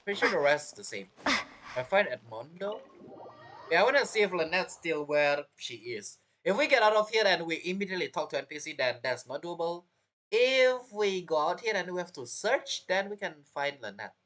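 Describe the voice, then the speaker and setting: A man's voice: high voice, big pitch swings, moderate pace, a bit bright. A man giving casual, rambling gameplay commentary as a streamer, reacting jokily. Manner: joking, rambling reactions to the game. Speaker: gaming streamer.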